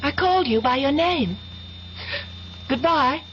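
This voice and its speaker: Crazed vengeful young woman, high voice, slow taunting threats, old radio play w/ some hiss. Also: animated. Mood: cold farewell. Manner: slow, menacing, taunting, drawn-out phrases. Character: vengeful, unhinged young woman, eerily calm then frantic. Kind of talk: 1970s radio drama acting. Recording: dull, noisy 1970s radio recording.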